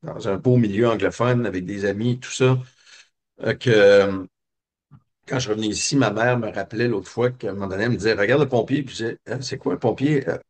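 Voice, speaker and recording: Homme québécois qui raconte sa vie. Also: male voice, on the low side, a little fast. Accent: Québécois French. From Quebec. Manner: reflective, many hesitations and fillers. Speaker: guest. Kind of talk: personal storytelling interview.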